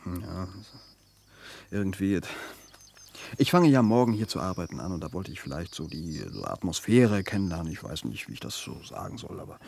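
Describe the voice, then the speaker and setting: A man playing a hesitant demolition worker in a naturalistic radio play, speaking haltingly. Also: low voice, big pitch swings, gravelly, darkish tone, breathy edge, full character voice. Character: hesitant male demolition worker visiting animal park.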